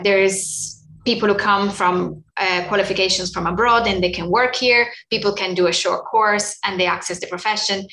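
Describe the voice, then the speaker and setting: Woman on a zoom call from home, bright tone, stops and restarts a lot. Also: medium pitch, roomy sound, poor audio. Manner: thoughtful, halting with many restarts. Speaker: education academic. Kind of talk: panel discussion. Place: small room at home, indoors. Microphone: laptop webcam on a video call.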